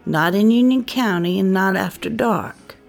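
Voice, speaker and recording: A woman's voice: mid-range voice, unhurried, creaky. An actress playing a motherly small-town diner waitress, folksy and concerned. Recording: audio drama with faint music beneath.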